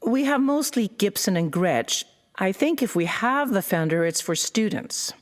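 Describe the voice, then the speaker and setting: A woman playing an unhurried shop keeper. She talks slowly at a medium pitch, acted for audio drama. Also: animated, close to an everyday voice. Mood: calm, obliging. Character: unhurried female Danish guitar shop keeper. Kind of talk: naturalistic audio drama acting.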